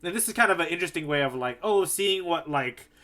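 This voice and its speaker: Bright-voiced man chatting as podcast co-host. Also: a little high, animated, fast. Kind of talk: casual chat, manga discussion.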